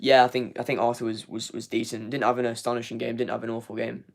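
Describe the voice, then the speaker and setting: A man's voice: mid-range voice, little pitch movement, quick pace, gravelly. A young man hosting a live football fan review, losing his voice, rambling with repeated words and many fillers. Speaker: fan channel host. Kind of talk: live match review, reading chat.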